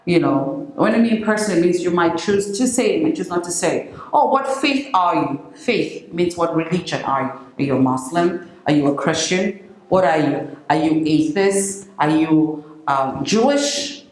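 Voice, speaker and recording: Female English teacher for home learners, slightly low pitch, didactic and question-led, heavily reverberant room. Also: animated, moderate pace, darkish tone. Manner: didactic, repeats phrases and asks rhetorical questions. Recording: very echoey room with faint noise.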